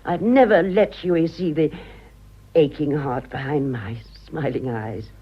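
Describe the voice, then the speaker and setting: An actress playing a vain, self-pitying ageing romantic in a British radio drama, confiding dramatically and halting for effect. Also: female voice, sits low, breathy edge, lo-fi sound. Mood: confiding, pained. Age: older.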